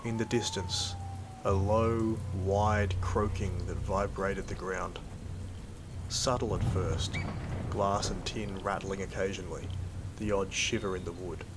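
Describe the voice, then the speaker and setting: An actor with an Australian accent playing a weary, wry, sweary company worker in an audio drama, with a dry, laconic delivery. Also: male voice, low voice, fairly even intonation, unhurried, background noise. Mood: brooding, ominous. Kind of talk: first-person audio drama narration and dialogue.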